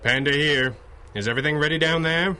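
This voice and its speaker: A 1930s radio superhero, bossy and dry, sharp forceful voice, bit of noise behind. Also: male voice, fairly high pitch, brisk. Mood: brisk, dry. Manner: authoritative, dryly witty. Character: commanding masked 1930s male superhero with hypnotic powers. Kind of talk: radio drama acting in a pulp serial style.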